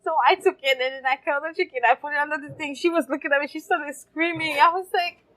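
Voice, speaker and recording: Woman, 30s, higher voice, chatty storyteller who laughs a lot. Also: fast, noisy background. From Haiti. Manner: lively storyteller, laughs often. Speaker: guest, caterer and café owner. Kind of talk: casual interview storytelling. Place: farmers market.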